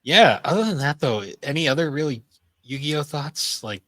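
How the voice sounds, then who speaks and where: A man's voice: on the high side, animated, normal speed, fairly bright tone, dry recording. A man co-hosting a podcast, chatting casually and informally in loose, rambling remarks. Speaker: podcast co-host. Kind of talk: casual chat about anime and games.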